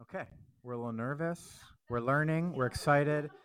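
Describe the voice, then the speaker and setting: A man's voice: a little high, normal speed, clear, resonant tone. A man, a teacher, greeting a class in an upbeat voice.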